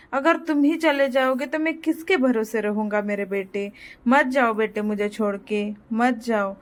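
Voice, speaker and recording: An elderly village grandfather acted out by a woman in a cartoon moral tale, pitched high. He worries, laments and pleads, saying things over again. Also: a bit bright. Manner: lamenting, pleading, repeats himself. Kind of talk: moral story cartoon dialogue.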